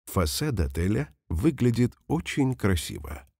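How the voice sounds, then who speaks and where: A man reading example sentences slowly and carefully for language learners, saying each one twice. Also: mid-range voice, big pitch swings, darkish tone, slightly rough, no room sound, clean studio sound. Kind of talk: slow repeated phrase reading for learners.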